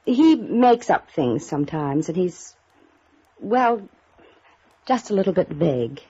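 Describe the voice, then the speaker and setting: A woman's voice: lowish voice, big pitch swings, slow, mellow, slightly rough, exaggerated voice. An actress playing a guarded, upper-class young woman with cool, defensive retorts in a 1950s radio drama. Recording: muffled old radio recording.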